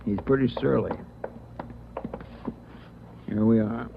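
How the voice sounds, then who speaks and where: A man's voice: on the low side, slow, gravelly, very noisy. A man acting a plainspoken, casual American police officer in a 1940s radio drama. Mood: matter-of-fact. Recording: tinny 1949 radio recording.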